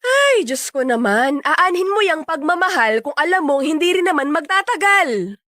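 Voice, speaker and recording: A woman's voice: high-pitched, very animated, moderate pace, fairly bright tone, no echo, studio quality. A Filipino woman acting in a radio drama as a sassy, blunt young sister who scolds freely. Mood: scolding.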